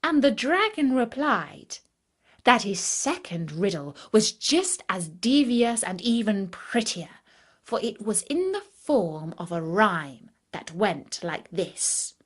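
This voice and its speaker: A woman storyteller narrating a scripted fairytale in a deliberate, expressive manner. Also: mid-range voice, breathy edge.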